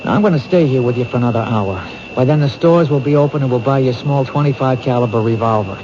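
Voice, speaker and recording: A man's voice: mid-range voice, fast talker, lightly acted. An actor playing a protective male police detective in a 1970s radio drama, speaking firmly and reassuringly. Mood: concerned. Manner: firm and reassuring. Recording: muffled old radio broadcast with hiss.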